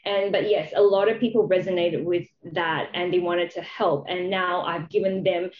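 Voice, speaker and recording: A woman's voice: on the low side, steady tone, normal speed. A woman from Malaysia talking casually and explaining her experience as an interview guest, often adding "you know" as she goes. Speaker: charity founder, interview guest. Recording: dull, roomy, echoey sound.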